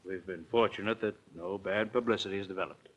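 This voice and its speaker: Bright-voiced society gentleman. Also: low-pitched, quick pace, dull audio. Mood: polite concern. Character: wealthy, polite polo-playing society gentleman. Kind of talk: 1940s radio drama acting.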